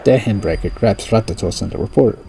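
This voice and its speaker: A man's voice: fairly low pitch, fast talker, gravelly, noisy background. A man playing a game and casually reading its story aloud, with slips and restarts. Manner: relaxed, reads narration with slips and restarts. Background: game music.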